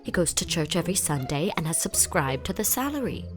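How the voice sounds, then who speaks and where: Woman doing a mournful village wife in an audiobook, kinda low and sighy, a little judgy, soft music behind. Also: very animated, brisk. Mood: mournful gossip. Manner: sighing, plaintive. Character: sighing, mournful, gently critical married village woman. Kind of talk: audiobook character acting.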